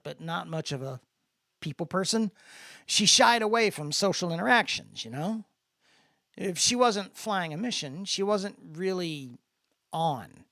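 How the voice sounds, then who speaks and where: Man acting idealistic younger brother, raised pitch, earnest, reassuring, casual phrasing, audiobook drama. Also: fairly bright tone, dry recording, studio quality, heavily acted. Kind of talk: dramatic audiobook character dialogue.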